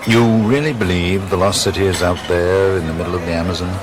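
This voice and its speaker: An actor playing a skeptical, probing scientist in an audio drama, formal and pointed in manner. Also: male voice, sits low, fast, lightly acted. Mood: formal, insistent. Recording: loud festive street noise behind.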